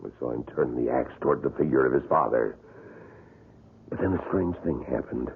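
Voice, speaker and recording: A grim wax museum guide in an old radio drama, unfolding events in a measured, ominous way. His voice is extremely deep, husky and gravelly. It sounds thin and phone-like, like a lo-fi broadcast. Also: full character voice. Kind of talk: 1970s radio drama acting.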